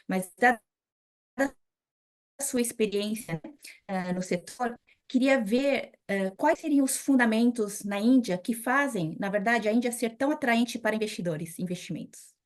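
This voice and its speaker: A woman with a Brazilian accent moderates a panel interview. Her voice sits at a middle pitch. She is friendly and pauses often with small fillers. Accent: Brazilian Portuguese.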